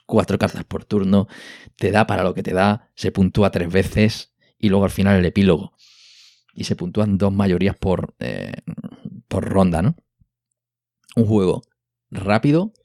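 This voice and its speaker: A man's voice: on the low side, darkish tone, no echo, clean studio sound. A man with an accent from Spain, enthusiastically explaining in a casual board game podcast chat, often checking in with tag questions. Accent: Spanish (Spain). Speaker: podcast co-host.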